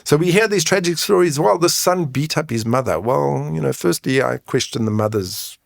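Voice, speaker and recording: Man with a creaky voice. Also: fairly high pitch, lively intonation, moderate pace, dry recording, studio quality. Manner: frank, often tags points with "right?". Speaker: guest, men's mental health coach and advocate. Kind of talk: conversational explaining in an interview.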